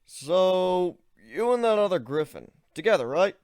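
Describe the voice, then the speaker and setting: Guy doing a tired young zebra dude in a storybook reading, flat matter-of-fact way of talking, dry close sound. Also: high-pitched, a little slow, no room sound, voice pushed up. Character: young male zebra, weary former slave.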